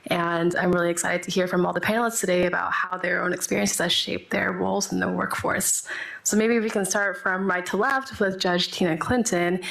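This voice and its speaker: A woman's voice: on the low side, creaky, a bit bright. A young woman from Texas moderating a panel, introducing herself and the discussion. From Texas, United States. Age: young adult. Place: panel event room with audible echo. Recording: roomy live event sound.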